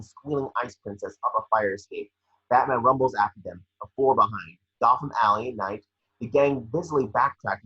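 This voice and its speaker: A man with a husky voice reading scene descriptions at a table read, sometimes stumbling over the script. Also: medium pitch, sharp tone, dull audio.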